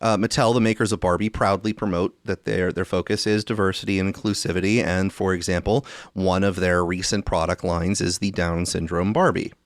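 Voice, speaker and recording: A man's voice: deep voice, fairly even intonation, fairly quick, few pauses, no echo, clean studio sound. A man hosting a podcast with casual commentary, wry and roundabout in the way he talks. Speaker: podcast host.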